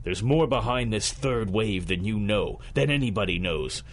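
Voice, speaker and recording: An actor playing a jittery, guilt-ridden streetwise informant in a 1930s-style radio drama, talking in terse slang. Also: male voice, on the low side, animated, normal speed. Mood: tense, grim. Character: jittery, guilt-ridden male mob member turned informant.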